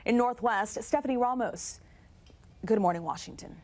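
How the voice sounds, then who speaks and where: A woman's voice: a little high, moderate pace, dark tone. A woman news correspondent delivering a scripted report.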